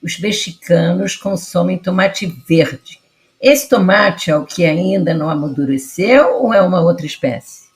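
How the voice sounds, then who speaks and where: A woman's voice: lowish voice, measured pace. Woman hosting a food-history podcast. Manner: friendly, introducing guests. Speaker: host.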